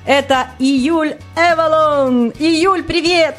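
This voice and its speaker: A woman hosting a casual live radio interview, talking in an excited, flowing and self-deprecating way. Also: high-pitched, takes it slowly, soft background music. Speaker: radio host.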